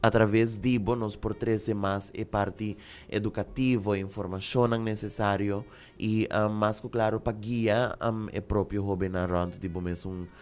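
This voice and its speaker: A male radio host asking questions slowly, with many pauses and ums, and cheering his young guest on. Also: fairly low pitch, steady tone. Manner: hesitant, many ums, encouraging. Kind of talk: radio interview.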